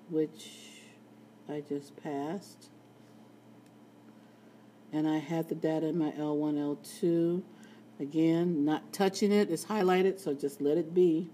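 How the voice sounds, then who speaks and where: A woman teaching a statistics lesson in a screencast, with a raspy voice and playful, chatty asides. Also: low-pitched, mellow, slight background noise. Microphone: tablet screen recording.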